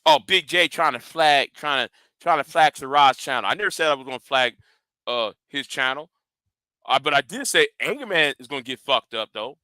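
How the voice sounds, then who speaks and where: A man's voice: mid-range voice, animated, moderate pace, sharp tone, smooth, no room sound. A man hosting his own channel, delivering a combative, profane rant and taunting viewers. He often repeats words and restarts phrases. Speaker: channel host. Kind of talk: confrontational livestream rant.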